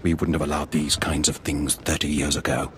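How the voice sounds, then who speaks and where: An actor playing a stern, indignant older military veteran in a video game. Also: male voice, extremely low pitch, flat delivery, brisk, noisy background. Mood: disgruntled. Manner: stern, grumbling, earnest. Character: indignant veteran male Imperial officer, older, disgruntled, grave. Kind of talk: video game cutscene acting.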